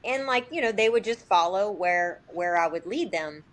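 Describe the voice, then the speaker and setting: A woman guesting on a podcast, talking informally with lots of "like" and quick quoted examples. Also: medium pitch, animated. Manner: informal, heavy use of "like", acts out short quotes. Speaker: sales agent, podcast guest. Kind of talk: casual podcast interview.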